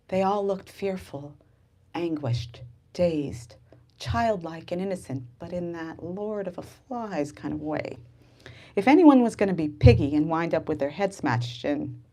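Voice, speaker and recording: A woman from the United States, an author speaking expressively about her novel in a dramatic, emphatic manner. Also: lowish voice. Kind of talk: expressive literary reading and book talk. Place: live bookstore event.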